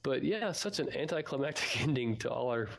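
A man's voice: mid-range voice, animated, fairly quick, gravelly. A man co-hosting a fan podcast in casual, informal chat. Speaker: podcast co-host.

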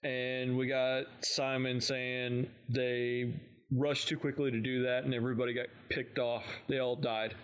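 A man's voice: mid-range voice, level tone, a little slow, smooth. A man co-hosting a fan podcast, recapping in casual chat with an amused manner. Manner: amused, chuckles often while recapping. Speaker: podcast co-host.

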